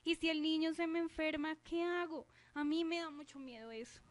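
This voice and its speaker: Woman acting a high-voiced anxious maid. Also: fairly even intonation, normal speed, close to an everyday voice. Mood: anxious, fearful. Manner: pleading, anxious. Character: timid, anxious loyal maid, fearful for children. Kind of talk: student radio drama acting.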